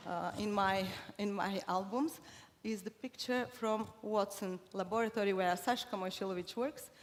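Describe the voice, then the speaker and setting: A woman's voice: medium pitch, moderate pace. Bulgarian woman giving a conference talk in english, lots of uhs and restarts, big echoey hall. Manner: hesitant, many fillers and restarts. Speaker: conference speaker. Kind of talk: conference presentation. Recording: reverberant hall, faint noise.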